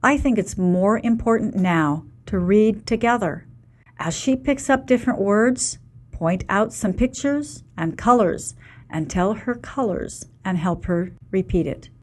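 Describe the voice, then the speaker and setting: Woman as a calm teacher, clear reassuring delivery, textbook dialogue. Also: medium pitch, unhurried. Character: kindergarten teacher giving calm advice.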